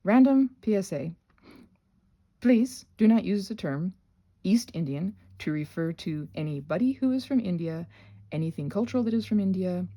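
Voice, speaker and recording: A woman who is sick, talking in a casual unscripted ramble as a channel host, halting and correcting herself often, with animated, emphatic asides. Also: lowish voice, creaky, slightly rough. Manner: rambling, halting, self-correcting with many trailing restarts.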